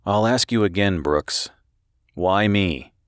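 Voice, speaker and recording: A man voicing a grim, guarded detective in an audiobook, speaking quietly, somberly and tersely. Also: sits low, slow pace, smooth, no echo, clean studio sound. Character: grim, guarded male detective on medical leave. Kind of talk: audiobook character dialogue.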